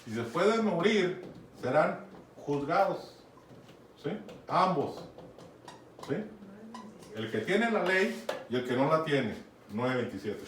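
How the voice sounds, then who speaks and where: A man's voice: fairly high pitch, big pitch swings, takes it slowly, long pauses. A man with a Mexican accent preaching a conversational Bible sermon, often checking in with his listeners. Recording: echoey room, from far off, faint noise.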